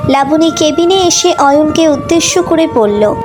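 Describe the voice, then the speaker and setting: A woman's voice: sits high, expressive, unhurried. A woman storyteller reading a scripted romantic story as narration. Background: background music.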